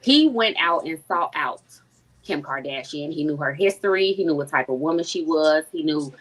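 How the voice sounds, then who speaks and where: A woman with a lowish voice speaks up on a panel chat. She is deliberate and methodical. She stacks one point on the next to build her case. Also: expressive, poor audio. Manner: deliberate, builds an argument step by step. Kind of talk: casual panel discussion.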